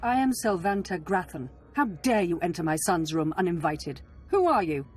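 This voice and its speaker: A woman playing a proud, scheming Sith noblewoman in a video game, haughty and intense with a husky voice. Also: lowish voice, sing-song, normal speed, darkish tone. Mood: indignant. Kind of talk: video game cutscene acting.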